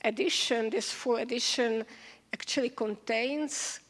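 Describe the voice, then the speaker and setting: A woman moderating an on-stage interview, speaking unscripted in a halting, careful way with restarts. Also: fairly high pitch. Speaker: moderator, scholar. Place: large event hall stage. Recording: echoey hall with long reverb.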